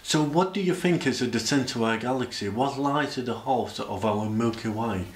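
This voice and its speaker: A man's voice: medium pitch, moderate pace, slight background noise. Man, echoey spoken-word delivery. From Sheffield, England. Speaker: presenter. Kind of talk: rhyming spoken-word poetry about science. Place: indoor room, speaking to camera. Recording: echoey room.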